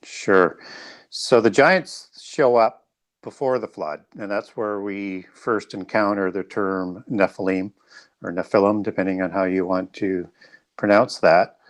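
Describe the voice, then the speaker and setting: A man with a slightly low voice talks as a guest expert. He explains point by point in a studious, somewhat halting manner, correcting himself along the way. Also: steady tone. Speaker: guest author. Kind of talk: explanatory interview talk.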